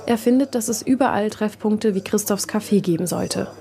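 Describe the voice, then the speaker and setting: A woman's voice: medium pitch, moderate pace, dark tone. A woman reporter reads scripted narration for a radio reportage.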